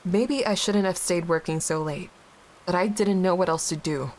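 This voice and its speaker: A woman acting a grieving, guilt-ridden young widowed mother in an audio drama, halting and emotionally raw. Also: fairly low pitch, darkish tone, natural voice, poor audio. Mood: bitter, angry. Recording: clear background hiss.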